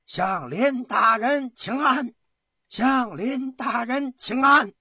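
A man voicing soldiers and townsfolk hailing a lord in a historical story with loud, drawn-out calls. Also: husky, no echo, clean studio sound, exaggerated voice. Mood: rousing salute. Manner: drawn-out, loud, high-pitched chanting call.

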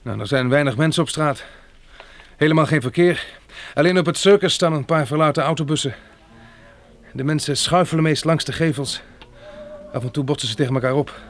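An actor playing a sober, polite survivor who narrates and speaks in a radio drama. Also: male voice, a little high, brisk, lightly acted. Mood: polite, concerned. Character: polite, sober sighted survivor recounting a catastrophe.

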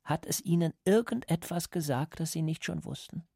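An actor playing a calm, cold party interrogator in a radio drama, speaking in a measured, lecturing way. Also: male voice, on the high side, gravelly, no echo, studio quality. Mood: patient, instructive. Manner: measured, didactic.

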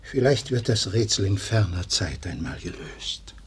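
Low-voiced sober guardian. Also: male voice, animated, measured pace, slight background noise. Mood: sober, grieving. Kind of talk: German radio play acting.

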